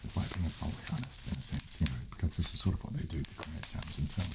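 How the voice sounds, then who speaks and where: British male ASMR host, extremely low hushed voice, gentle explainer, crackly plastic noise on mic. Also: very animated, fast talker, creaky. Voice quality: soft, hushed. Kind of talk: soft-spoken ASMR chat. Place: small decorated room, indoors. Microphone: close studio condenser microphone on table.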